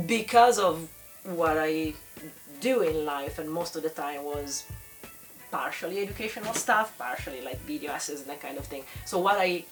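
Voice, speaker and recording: Lower-voiced woman with a non-British accent. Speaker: panel speaker. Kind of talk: reflective informal discussion.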